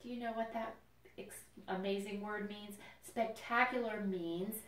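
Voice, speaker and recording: A woman hosting a children's storytime, explaining nature to young listeners in simple, expressive language. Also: medium pitch, measured pace. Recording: roomy echo, distant microphone.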